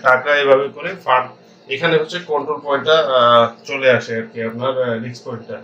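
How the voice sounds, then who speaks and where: A Bangladeshi man teaches in an informal tutorial style. His voice is medium-pitched with a sharp, bright edge. He feels his way haltingly through each explanation and drops in English technical terms. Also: fairly even intonation, muffled recording. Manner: halting, thinking aloud, mixing in English accounting terms. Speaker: accounting instructor.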